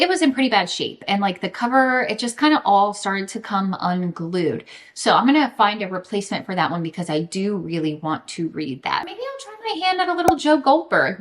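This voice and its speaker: A woman with a medium-pitched voice talks to her audience in a casual book vlog. Her delivery is informal and animated, with plenty of emphasis. She has a self-deprecating, humorous way of putting things. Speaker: book vlogger. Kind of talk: casual chatty vlog to camera.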